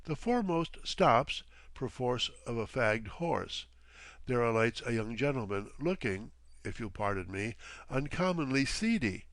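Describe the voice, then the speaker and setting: A man voicing a genial, theatrical elderly gentleman with a courtly, florid, playfully pompous manner in an audiobook story. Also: medium pitch, lively intonation, unhurried, no room sound. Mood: delighted, playful.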